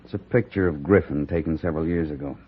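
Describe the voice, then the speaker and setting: A man's voice: rumbling low, quick pace, breathy edge. A man playing a hearty, earnest army colonel in an old-time western radio drama, businesslike and persuasive. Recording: muffled old radio recording.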